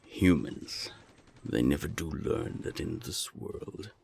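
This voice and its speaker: Man acting very deep, gravelly, menacing serpent gang boss. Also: darkish tone, heavily acted. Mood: cold menace. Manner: slow, deliberate, taunting, threatening. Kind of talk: audio roleplay drama acting.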